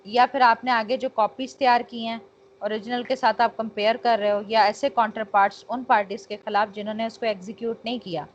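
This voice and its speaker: A woman with a Pakistani accent teaching law in an online lecture, explaining with English terms mixed in and checking that students follow. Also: on the high side. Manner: checks understanding, frequent tag phrases. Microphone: online call. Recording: dull, noisy online call audio.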